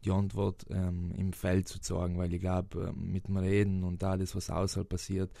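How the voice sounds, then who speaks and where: A man's voice: sits low, completely flat delivery, normal speed, creaky, dark tone, no echo. A young man from South Tyrol with a South Tyrolean German accent, answering interview questions hesitantly with many fillers and restarts. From South Tyrol, Italy. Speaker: interviewed footballer.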